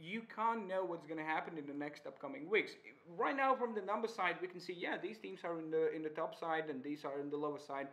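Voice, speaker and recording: A man's voice: fairly high pitch, brisk, few pauses, a bit bright. A man co-casting an esports broadcast, chatty and jokey, often repeating words as he talks. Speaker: esports co-caster. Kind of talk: live esports commentary.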